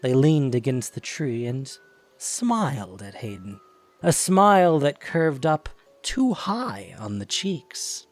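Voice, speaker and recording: Slow, expressive male story narrator. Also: mid-range voice, soft background music. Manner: deliberate, expressive. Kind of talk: dramatic scripted story narration.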